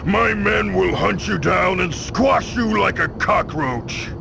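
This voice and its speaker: A man's voice: high voice, takes it slowly, vocal fry, heavy background noise, heavily acted. A man playing a huge, imperious crime boss in a video game, commanding and threatening. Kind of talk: video game cutscene voice acting. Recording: loud music over the voice.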